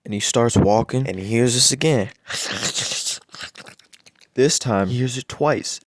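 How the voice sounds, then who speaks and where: A man spins a crude, rambling bedtime story in a flat, deadpan delivery, swearing freely and restarting his phrases. His voice sits at an ordinary middle pitch. The recording is close and dry, with no room sound. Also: unhurried, dry recording, rough recording. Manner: profane, deadpan, rambling with repeats.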